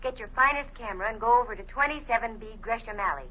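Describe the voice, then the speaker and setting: An actress playing a brisk, wry female private eye in 1940s radio style. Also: fairly high pitch, bright, forceful tone. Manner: brisk, wry, hard-boiled. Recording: muffled old radio broadcast with hiss.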